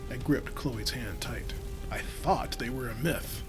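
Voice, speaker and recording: A man's voice: mid-range voice, slow, natural voice. Man playing a hard-boiled narrator over music. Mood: hushed, tense. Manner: dry, noirish storytelling. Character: hard-boiled, wry male guardian narrating his story. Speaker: narrator and lead. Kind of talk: dramatic first-person scripted narration.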